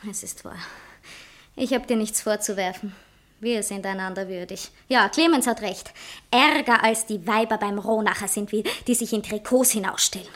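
A woman's voice: on the high side, a bit bright, close to an everyday voice. An actress playing a proud, impulsive society woman writer in a satirical radio play, theatrical, by turns coquettish and indignant. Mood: desperate resolve.

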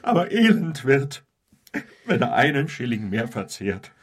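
Grandiloquent, self-pitying gentleman debtor for radio drama; husky, hugely animated delivery. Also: mid-range voice, unhurried. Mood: tearful, admonishing. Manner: grandiloquent, florid. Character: pompous, theatrical, debt-ridden middle-aged gentleman, self-pitying. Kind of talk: German radio drama acting.

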